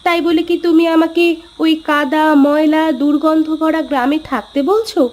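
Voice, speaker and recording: Woman acting a high-voiced pampered city wife. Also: fairly even intonation, normal speed, darkish tone, slight background noise, lightly acted. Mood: touchy, complaining. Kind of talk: dramatised moral story voice acting.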